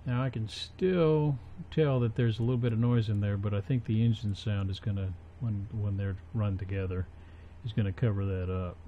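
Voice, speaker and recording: A man with a lowish voice talks slowly through a casual software tutorial. He is halting and unscripted, thinking aloud as he works. The recording is dull and lo-fi, with faint noise behind him. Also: steady tone. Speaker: tutorial presenter.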